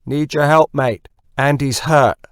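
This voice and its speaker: Audiobook role: burly bossy diver, gruff and throaty, blunt banter, very dry sound man narrator acts it. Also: mid-range voice, fairly even intonation, unhurried, dry recording, voice pushed up, lightly acted. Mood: urgent shouting. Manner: blunt, sweary, shouting orders.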